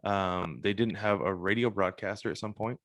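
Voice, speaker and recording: A man's voice: on the low side, normal speed, a bit bright, no echo. A man speaking as a podcast host and interviewer in casual chat.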